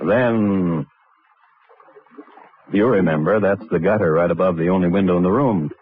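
A man narrating a scripted crime story as host of a 1950s radio show, in a measured, dry storytelling manner. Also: low voice, breathy edge, narrow, tinny audio. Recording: thin, band-limited vintage radio broadcast. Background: music.

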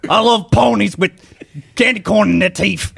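A man improvising a goofy dating-profile bit, switching his voice and shouting. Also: sits high, moderate pace, vocal fry, slight background noise. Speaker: panelist.

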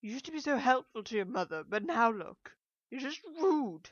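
A demanding, overwrought mother from an audiobook. Her voice is pitched high and thick through the nose. She scolds in loud shouts that tip into wailing. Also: huge pitch swings, slow pace, no echo, highs cut off, heavily acted. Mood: scolding. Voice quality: thick, nasal.

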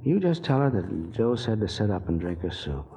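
An actor playing a brisk, protective amateur sleuth in a 1940s radio mystery. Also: male voice, lowish voice, big pitch swings, mellow. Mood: friendly, conspiring. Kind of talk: 1940s radio drama acting. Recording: dull, muffled old radio recording.

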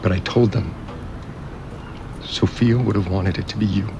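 A man's voice: on the low side, steady tone, normal speed, creaky, dull audio, background noise. An actor playing a grieving, overwhelmed resort heir in an audio drama, hesitant and apologetic, placating whoever he talks to. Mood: weary, grateful. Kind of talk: naturalistic audio drama acting.

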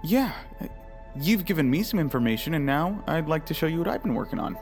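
An actor playing a troubled, earnest archivist in an audio drama, halting and unsure of himself. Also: male voice, on the high side, expressive, soft background music. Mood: tentative, resolved. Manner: halting, self-doubting.

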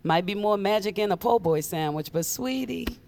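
A woman's voice: medium pitch, animated, normal speed, a little mellow, slight background noise, natural voice. An actress playing a sly, sardonic witch with a teasing streak in an audio drama.

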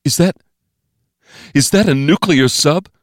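A man's voice: mid-range voice, lively intonation, moderate pace, slightly rough, dry recording, clean studio sound, pitched above the performer's own voice. A man voicing a frightened, uneasy younger man, tense and hesitant, in an audiobook thriller. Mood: shocked.

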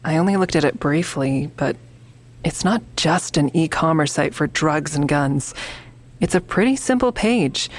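An actress playing a wry, persistent amateur investigator in an audio drama, speaking in a matter-of-fact, persuasive way. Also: female voice, low-pitched, expressive, measured pace. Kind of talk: naturalistic audio drama dialogue.

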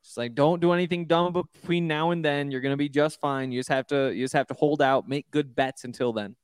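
Smooth-voiced man, wry and rambling. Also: fairly high pitch, steady tone, brisk, no echo, studio quality. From Montana. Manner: wry, rambling, self-deprecating. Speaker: podcast co-host. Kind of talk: casual sports betting chat. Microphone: video call.

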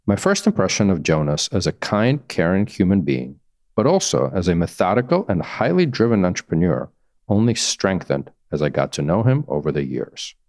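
A man's voice: sits low, very animated, normal speed, darkish tone, dry recording, studio quality. A man hosting a podcast, reading an upbeat intro and then asking questions in an enthusiastic way. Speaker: podcast host. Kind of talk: scripted intro and casual interview questions.

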